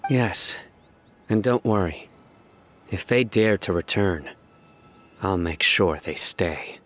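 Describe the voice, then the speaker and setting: A man playing a stern, calm, analytical desert enforcer in a video game, speaking in a measured, serious, deliberate way. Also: low-pitched, slight background noise. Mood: resolute. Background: music.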